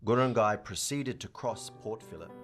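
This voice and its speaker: A man's voice: fairly low pitch, moderate pace, faint music behind, close to an everyday voice. A man playing a formal, courteous government counsel addressing an inquiry in a radio play. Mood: formal, measured.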